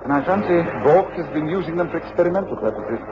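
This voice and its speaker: An actor playing a brilliant, commanding English detective in a radio drama, speaking precisely and deliberately with an English accent. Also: male voice, fairly high pitch, lively intonation, natural voice. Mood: urgent, reasoning. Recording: muffled, noisy old radio drama recording.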